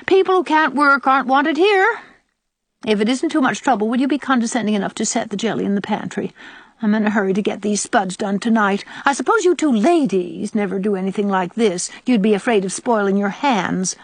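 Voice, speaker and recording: A woman voicing a sour, sarcastic old country aunt with a sharp tongue, in an audiobook. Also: mid-range voice, brisk, no room sound, voice pushed up, lightly acted. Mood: sour, self-pitying. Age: older. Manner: disagreeable, sarcastic, nagging. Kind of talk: audiobook character voice.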